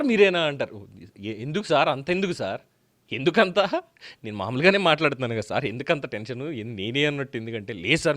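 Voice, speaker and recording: A man's voice: medium pitch, fairly bright tone, no room sound. A man hosting in a casual, chatty commentary style, dropping English words into his talk. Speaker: show host.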